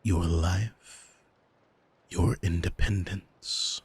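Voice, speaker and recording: A man playing an arrogant, manipulative demon in an ASMR roleplay, coaxing in a smug, soothing, hypnotic manner with a breathy, husky voice. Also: sits low, steady tone, darkish tone, heavily acted. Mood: possessive, coaxing. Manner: smug, deliberate, soothing, commanding. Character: arrogant male demon, soul-owning manipulator, calm and seductive.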